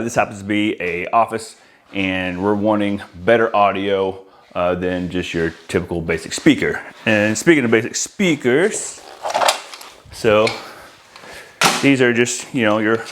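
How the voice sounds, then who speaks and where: A man's voice: fairly low pitch, steady tone, a little slow, a bit bright. Man casually explaining gear over noisy clatter. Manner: informal, explanatory, addresses viewers as guys. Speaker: audio installer presenting a product. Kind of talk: casual product walkthrough. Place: small room indoors, home office. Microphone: lavalier clipped on shirt.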